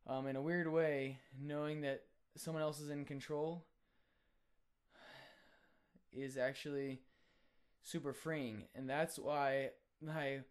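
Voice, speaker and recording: A young man, a college student, sharing a personal faith testimony in an earnest, reflective way with frequent filler pauses. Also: medium pitch, clean tone. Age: young adult.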